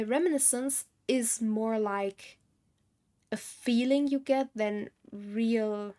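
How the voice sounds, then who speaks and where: A woman's voice: fairly high pitch, darkish tone. A woman game streamer giving casual live commentary, musing and thinking aloud.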